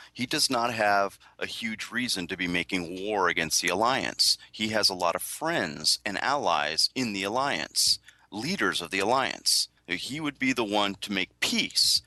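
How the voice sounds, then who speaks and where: A man's voice: on the low side, pushed and bright, no echo. A man on a podcast panel stating his opinions in an assertive, declarative way.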